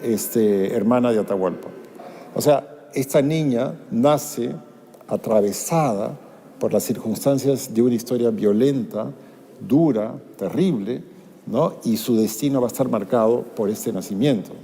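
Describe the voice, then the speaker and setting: Mellow-voiced man explaining history. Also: medium pitch, creaky, slightly rough. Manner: measured, explanatory, with hesitations. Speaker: author, interview guest. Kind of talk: storytelling in an interview. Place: museum.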